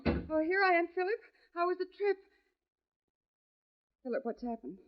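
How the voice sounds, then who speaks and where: An actress playing a frightened, suspicious wife who tells her own dark tale in 1940s radio mystery style, with anguished dramatic pauses. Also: very high-pitched, lively intonation. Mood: uneasy. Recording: dull old radio recording, music behind. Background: dramatic music.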